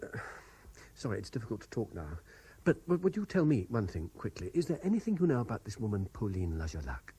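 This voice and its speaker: An actor playing an earnest, insistent gentleman lawyer in a 1970s radio drama. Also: fairly low pitch, very animated, fast, breathy edge, exaggerated voice. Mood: guarded. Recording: noisy old 1970s radio broadcast.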